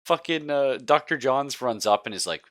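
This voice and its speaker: A man's voice: mid-range voice, animated, moderate pace. A man speaking as a game master, bantering casually with his players in a jokey, profane way. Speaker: game master of a tabletop RPG stream.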